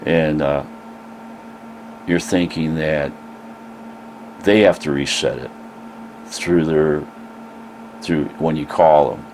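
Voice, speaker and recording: Older Midwestern man, scratchy and very deep, unhurried rambling vlogger, a faint hiss behind him. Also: fairly even intonation, darkish tone. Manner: rambling, with many "uh" pauses. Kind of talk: casual vlog talk to camera.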